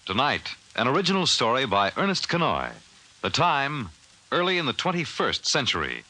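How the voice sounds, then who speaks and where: A man's voice: medium pitch, wildly swooping pitch, moderate pace, rough recording. A man with an American accent announcing a 1950s radio drama in a formal, theatrical, scripted style. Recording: vintage 1950s radio broadcast with loud music.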